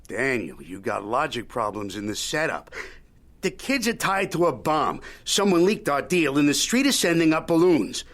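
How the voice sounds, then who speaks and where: An actor playing a shrewd, folksy old media mogul in an audio drama, haggling in a wry, needling, Yiddish-peppered way. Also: male voice, a little high, very animated, normal speed, fairly bright tone. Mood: scornful. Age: older.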